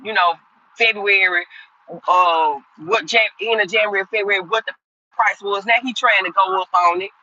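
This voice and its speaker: A woman's voice: medium pitch, sharp tone, highs cut off. A woman in her fifties hosting a livestream, chatting casually with viewers and answering their comments in a rambling, repetitive way. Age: 50s. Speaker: livestream host.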